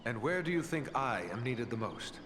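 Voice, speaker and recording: An actor playing an earnest human ranger in a fantasy game, speaking formally and steadily with polite courtesy. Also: male voice, medium pitch, lively intonation, moderate pace, fairly bright tone, close to an everyday voice. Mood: earnest, concerned. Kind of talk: fantasy video game character acting. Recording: clear background ambient noise.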